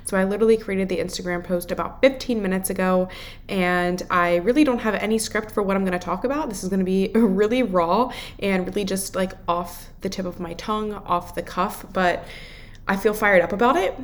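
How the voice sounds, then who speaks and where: A woman's voice: mid-range voice, a little fast, a little hiss or hum. A woman with a head cold, congested, hosting a podcast alone in an earnest, encouraging, casual and unscripted way. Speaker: podcast host and self-improvement mentor.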